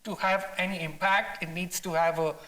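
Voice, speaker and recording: High, bright-voiced man. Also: little pitch movement, low-quality recording. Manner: halting, repeats and reformulates phrases. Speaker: filmmaker answering audience questions. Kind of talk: conversational Q&A answer.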